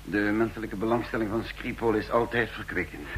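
An actor playing a genial male spy posing as a gentleman in a vintage radio drama, relaxed and musing, with frequent hums. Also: lowish voice, fairly quick, a little hiss or hum, close to an everyday voice, rough recording.

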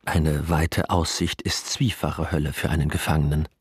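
Husky, deep-voiced male radio-drama narrator. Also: fairly even intonation, no echo, clean studio sound. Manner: measured, formal, grave. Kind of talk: scripted literary narration, dramatic.

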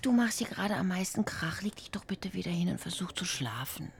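A woman's voice: on the low side, lively intonation, brisk, gravelly, slightly rough, exaggerated voice. A woman playing a tired, pragmatic young girlfriend with a dry, understated manner in a naturalistic radio drama. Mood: whispering, weary. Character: tired, pragmatic pregnant girlfriend, dryly teasing.

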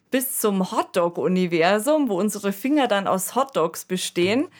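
A woman co-hosting a podcast, talking enthusiastically and colloquially in a conversational discussion. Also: mid-range voice. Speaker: podcast co-host. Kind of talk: conversational discussion of science fiction.

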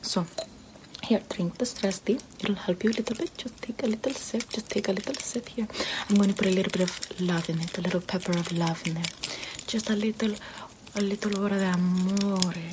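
A woman's voice: mid-range voice, a little fast, lightly acted. A woman doing a whispering Renaissance poison seller. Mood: coaxing whisper. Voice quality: soft whispery, coaxing. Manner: sweet-talking, with darkly calm menace. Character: sly flattering female poison seller, Renaissance Rome. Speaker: ASMR roleplayer. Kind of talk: ASMR roleplay. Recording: steady background hiss.

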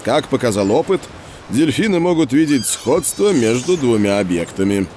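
A man playing a patient scientist who explains experiments to children in a measured, didactic way in an educational audio drama. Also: mid-range voice, very animated, warm tone, a little hiss or hum, natural voice. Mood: explanatory.

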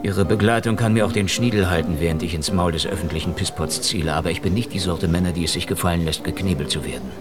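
An actor playing a cynical, hard-drinking KGB investigator in a noir radio drama, sardonic, deadpan and foul-mouthed. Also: male voice, sits low, quick pace, hardly any pauses, natural voice. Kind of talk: noir radio drama, first-person narration and dialogue. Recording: music behind the voice. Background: prominent music.

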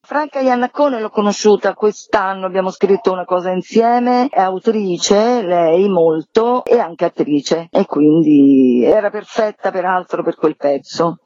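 A woman's voice: medium pitch, a little slow, hardly any pauses, dark tone, no room sound. A woman speaking casually as a theatre director in a radio interview, informally thinking aloud. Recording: dull lo-fi sound, dry.

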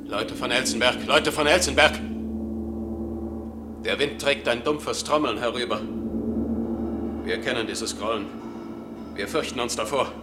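An actor playing an alarmed public announcer, urgently proclaiming warnings to townspeople in a radio play. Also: male voice, medium pitch, a little slow, long pauses, heavy background noise, close to an everyday voice. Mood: ominous.

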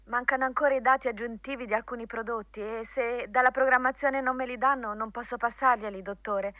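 A woman's voice: a little high, fairly even intonation, fairly quick, dry recording, lo-fi sound, natural voice. An actress in an Italian radio play playing a submissive, hesitant female secretary who answers to her boss. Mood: anxious, apologetic. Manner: submissive, questioning.

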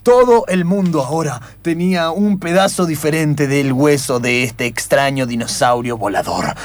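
A man's voice: fairly high pitch, moderate pace, natural voice. A man playing a nervous, fussy Argentine husband and storyteller in improvised comic radio theatre. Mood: dramatic narration.

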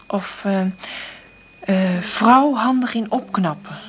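An actress playing an insecure, tender single mother in a radio play, hesitant and thinking aloud in a breathy voice. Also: medium pitch, slow pace, a bit bright. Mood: hesitant, musing. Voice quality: breathy, often half-whispered. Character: insecure, struggling divorced mother, tender and nervous. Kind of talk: naturalistic radio play acting with intimate monologue.

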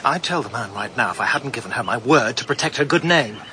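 A man's voice: medium pitch, expressive, fast talker, background noise, lightly acted. An actor playing a blunt, loyal suitor protective of a woman, in a radio drama. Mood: firm. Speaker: Royd. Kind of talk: BBC radio drama acting.

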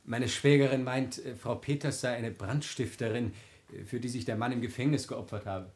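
An actor playing a breezy, candid young gentleman in a classic radio play, frank and lightly ironic. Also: mid-range voice, fairly even intonation, moderate pace, natural voice. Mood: amused irony.

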